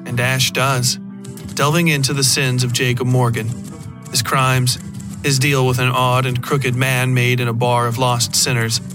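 A man reading scripted horror fiction as a podcast narrator, in a deliberate, literary storytelling manner. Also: medium pitch, flat delivery. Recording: prominent music behind the voice. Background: music.

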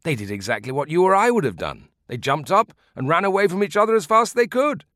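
A man voicing an old Greek war veteran in a storybook, who tells his tale in a dramatic, digressive, drawn-out way. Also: on the high side, big pitch swings, a bit bright, no room sound, clean studio sound, pitched above the performer's own voice. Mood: relenting, amused.

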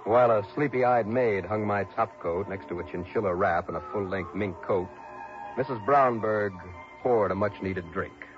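An actor playing a dogged, wry insurance investigator in a mid-century radio detective drama, clipped and world-weary. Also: male voice, lowish voice, moderate pace, over music, close to an everyday voice. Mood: wry, narrating. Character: dogged, wry male insurance investigator narrating his case. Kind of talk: 1950s-60s radio detective drama acting. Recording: muffled old radio broadcast recording.

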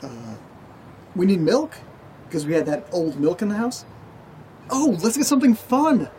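An erratic, oddly chipper male housemate in a horror audio drama. His delivery is very lively in pitch, childlike and breezy, then abruptly insistent. There is faint noise behind him. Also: normal speed. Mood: cheerfully insistent. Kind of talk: naturalistic audio drama dialogue.

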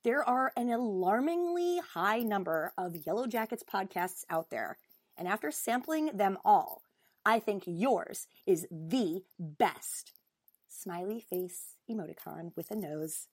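A woman podcast host reads fan mail aloud in a dramatic, deliberate way. Her pitch is middling, and the recording is close and dry, with very little room around the voice. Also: animated, no room sound. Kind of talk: dramatic reading of a fan email.

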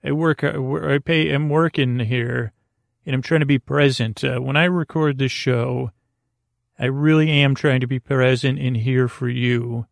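A man hosting a bedtime podcast in a gentle, reassuring, halting monologue that meanders and over-explains, with a creaky voice. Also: medium pitch, steady tone, dry recording, clean studio sound. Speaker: podcast host.

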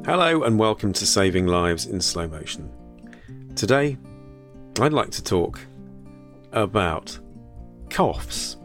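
A man's voice: lowish voice, big pitch swings, slow, pauses often, music in the background. A man hosting a solo podcast as a doctor, talking through a health topic in a conversational, thoughtful way with fillers and restarts. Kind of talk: solo educational podcast talk.

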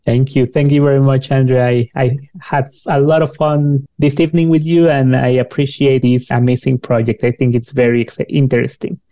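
Guy around 40 w latin american spanish accent, mellow, chatting as podcast guest, kinda lo-fi audio. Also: mid-range voice, level tone, moderate pace, hardly any pauses. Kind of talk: conversational interview.

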